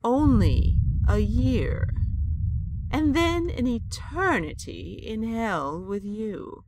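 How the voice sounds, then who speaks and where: An actress playing a devout, shrewd elderly woman who bargains dryly and deliberately. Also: fairly high pitch, very animated, a little mellow, a little hiss or hum, heavily acted. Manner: dry, canny and bargaining, with slow deliberate wit. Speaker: Audrey Adams. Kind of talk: audio drama acting.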